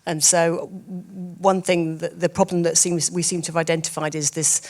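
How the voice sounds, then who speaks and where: A woman's voice: on the low side, flat delivery, normal speed, a little mellow. A woman chairing a symposium, polite but firm as she steers the discussion. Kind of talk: moderating a discussion.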